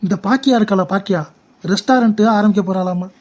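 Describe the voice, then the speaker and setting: A woman's voice: medium pitch, fast, darkish tone, lightly acted. A woman voicing an envious, evasive middle-aged husband in a cartoon parody, grumbling and whining. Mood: wheedling, envious.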